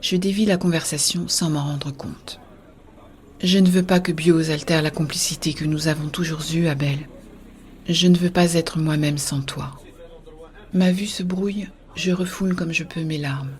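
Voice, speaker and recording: A woman playing an earnest, idealistic young-hearted believer in a scripted science-fiction audio drama, with a husky, soft delivery. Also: low voice, fairly even intonation, normal speed, natural voice. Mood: hushed, pensive. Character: Béa, earnest idealistic woman defending a shared-consciousness network. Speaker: voice actor narrating in first person.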